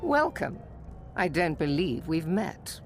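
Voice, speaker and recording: A woman's voice: sits low, sing-song, slow, faint music behind, exaggerated voice. A woman playing a haughty, icily polite elf ambassador in a video game, formal, probing and condescending. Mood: courteous, probing.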